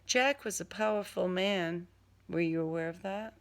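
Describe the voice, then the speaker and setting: A man's voice: high-pitched, moderate pace, gravelly, fairly bright tone. A woman voicing a coolly polite, menacing male serial killer in an audiobook, with formal, genteel wording. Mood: persuasive, controlled.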